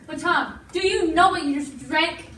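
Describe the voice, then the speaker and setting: A woman's voice: extremely high pitch, normal speed. A woman playing an earnest, plucky young ranch woman in an amateur Western stage play, with stagey delivery. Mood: surprised, earnest. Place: small indoor stage, recorded from audience. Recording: echoey stage, distant mic, loud noise.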